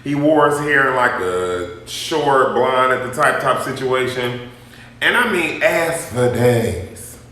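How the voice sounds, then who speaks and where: A man telling a personal story to his viewers in a sassy, dramatic, animated vlogging style. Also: mid-range voice, slow pace, a little hiss or hum. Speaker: YouTube vlogger. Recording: very echoey, roomy sound.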